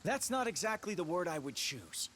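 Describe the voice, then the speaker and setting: An actor playing a cocky, easygoing space-hero leader in a video game, with a casual, wisecracking manner. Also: male voice, high-pitched, big pitch swings, moderate pace, a bit bright, a little hiss or hum. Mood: defensive, wry. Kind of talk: video game cutscene acting.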